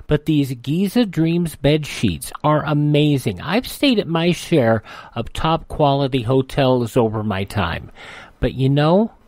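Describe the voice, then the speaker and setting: A man's voice: on the high side, a little mellow, no echo. Folksy, chatty radio host man. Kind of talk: casual host patter and live-read ads.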